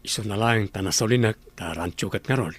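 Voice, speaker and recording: A man's voice: fairly low pitch, brisk, natural voice. A man voicing a polite ranch owner who hires a nurse for his ranch. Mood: courteous. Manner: calm, courteous. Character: courteous wealthy ranch owner.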